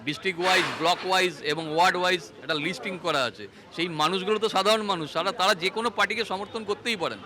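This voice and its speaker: A man with a West Bengal accent making a halting, earnest spontaneous statement to the press. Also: high voice. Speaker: complainant speaking to press. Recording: outdoors with faint crowd behind.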